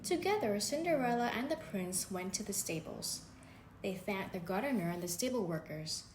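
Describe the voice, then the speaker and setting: A woman reading a children's bedtime story aloud, gently and expressively. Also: mid-range voice, lively intonation, normal speed. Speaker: storybook reader. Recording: echoey room with faint background noise.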